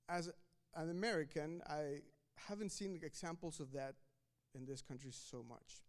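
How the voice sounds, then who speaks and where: A man's voice: a little high, clean tone. A man from Mexico, preaching a sermon in a conversational way, often restarting and repeating words. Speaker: pastor.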